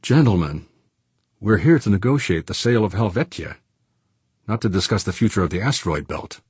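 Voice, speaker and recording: A man voicing a cool, unruffled businesswoman who negotiates in a crisp, condescending, businesslike way in an audiobook. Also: lowish voice, animated, fairly quick, dry recording. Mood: businesslike, controlled.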